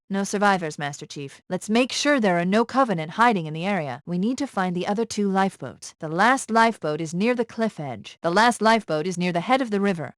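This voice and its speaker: A woman acts out a female military AI from a science fiction video game. She is brisk and sharp-witted, guiding a soldier with articulate, purposeful instructions. The sound is very dry, at a medium pitch. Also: dry recording, lightly acted. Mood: somber. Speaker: AI companion giving tactical guidance. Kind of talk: scripted video game voice acting.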